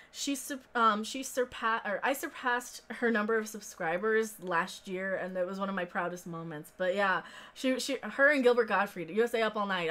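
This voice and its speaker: A woman's voice: a little high, sharp tone. An American woman in her thirties hosting a live stream, talking in a rambling, informal, sweary way as she answers viewer comments. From central United States. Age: 30s.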